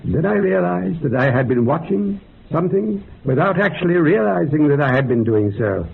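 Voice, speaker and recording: Husky, gravelly old researcher. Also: male voice, on the high side, animated, moderate pace, full character voice. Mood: measured, reflective. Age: older. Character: old male researcher, self-effacing, dryly recounting a meditation experiment. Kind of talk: radio drama monologue. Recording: dull, muffled radio drama recording.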